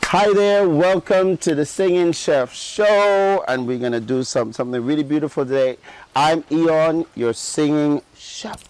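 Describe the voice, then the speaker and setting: A man's voice: fairly high pitch, unhurried, darkish tone, clean tone, no room sound, low-quality recording. A man with a partly English accent hosting a cooking show as a chef, giving a chatty step-by-step demonstration and often checking in with the viewer. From Guyana. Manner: chatty, keeps checking in with "right?".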